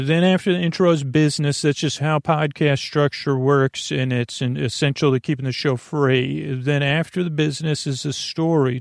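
A man hosting a sleep podcast in a meandering, halting, self-deprecating monologue, often repeating himself and trailing off, with a gravelly voice. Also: medium pitch, dry recording, clean studio sound. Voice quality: creaky, gravelly. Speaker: podcast host.

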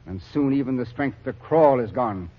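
Husky, grim army captain played by a man. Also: medium pitch, lively intonation, moderate pace, natural voice. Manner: blunt, grimly weary, callous. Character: hardened, grim army captain working famine relief. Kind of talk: 1940s radio acting. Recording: dull old radio recording.

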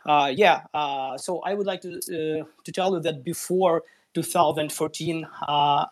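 Male audience participant, Ukrainian accent, native Russian speaker, fairly high voice, hesitant informal delivery. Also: fairly even intonation, unhurried. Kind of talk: informal personal account.

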